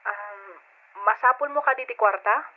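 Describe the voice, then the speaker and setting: An actress playing a concerned, caring older sister in an old radio drama, speaking in a solicitous, emotional manner. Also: female voice, fairly high pitch, normal speed, lightly acted. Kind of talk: old-time radio drama acting in Ilocano. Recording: thin phone-like radio drama sound.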